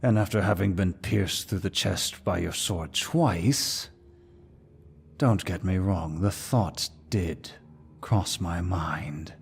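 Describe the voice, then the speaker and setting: Man acting a very deep, gravelly demon lord. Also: a little hiss or hum, exaggerated voice. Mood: intrigued, menacing. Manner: dominant, smug, slow and deliberate. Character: menacing, taunting male demon lord. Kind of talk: ASMR fantasy roleplay acting.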